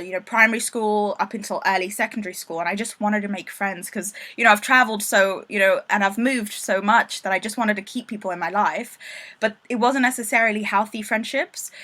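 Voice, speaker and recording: Woman, from Zimbabwe, guest, casual chat, reflective, self-deprecating, middle pitch. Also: sharp tone.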